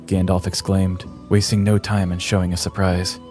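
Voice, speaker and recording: Casting: male storyteller, low voice, measured and theatrical, comic fantasy narration over prominent music. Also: little pitch movement, a little mellow. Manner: mock-epic, theatrical, measured. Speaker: podcast host and storyteller. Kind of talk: dramatic scripted comedic fantasy narration.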